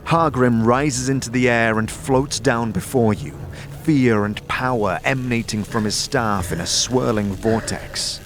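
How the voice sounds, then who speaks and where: A man's voice: medium pitch, a little slow. A man narrating an audio drama in the second person with scripted, descriptive delivery. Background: music.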